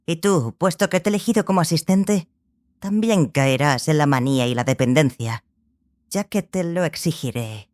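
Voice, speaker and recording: Grave, measured scholarly sorceress. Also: female voice, deep voice, animated, a little mellow. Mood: stern, intense. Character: stern, obsessive scholarly sorceress, the Lady of the Lake. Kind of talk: dramatized audiobook dialogue.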